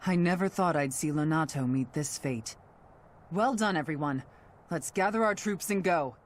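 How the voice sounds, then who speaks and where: Low-voiced, earnest woman knight played by an actress. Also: moderate pace, slight background noise, lightly acted. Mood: grave. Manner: formal, serious. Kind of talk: fantasy game voice acting. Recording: orchestral game music behind.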